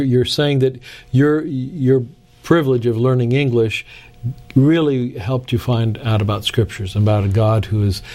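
Mellow-voiced male interviewer. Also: mid-range voice. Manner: engaged, summarizing, probing questions. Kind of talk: conversational interview.

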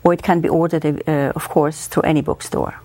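A woman's voice: low-pitched, level tone, fairly quick, mellow. A woman from Switzerland whose first language is German, a music professor being interviewed on the radio, speaking hesitantly with many filler sounds.

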